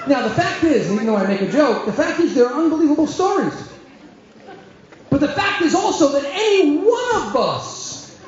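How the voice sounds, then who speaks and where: A man, a rabbi, telling stories to a congregation, acting out the dialogue with big swings in his voice. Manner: animated storyteller, acts out dialogue with shifting pitch. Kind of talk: storytelling talk to a congregation. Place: synagogue hall. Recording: very echoey hall, dull lo-fi sound.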